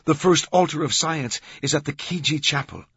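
A man's voice: on the high side, a bit bright, breathy edge, dry recording, highs cut off, voice pushed up, exaggerated voice. A man voicing a commanding, insistent woman in an audiobook. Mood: urgent command. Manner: brisk, insistent. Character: urgent, commanding young Italian woman.

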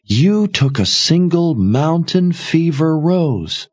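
A man's voice: on the high side, expressive, slow, breathy edge, pitched above the performer's own voice, full character voice. A man voicing a frightened, accusing young woman in a fantasy audiobook, raised and strained. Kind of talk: audiobook character reading.

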